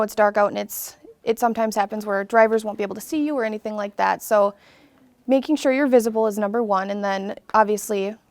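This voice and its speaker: A woman's voice: mid-range voice, darkish tone, no room sound. A young adult woman, a police officer, answering interview questions casually with fillers.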